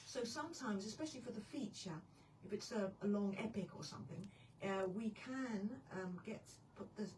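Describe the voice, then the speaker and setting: A woman's voice: medium pitch, normal speed, a little mellow, rough recording. A woman, a poetry event organiser, explaining details to a radio host in a friendly way, often laughing as she talks. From Australia. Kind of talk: radio interview. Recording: off-mic with faint room noise.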